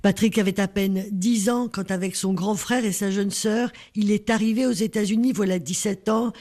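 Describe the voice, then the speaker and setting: Measured news reporter narrating a scripted report. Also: medium pitch, steady tone, darkish tone.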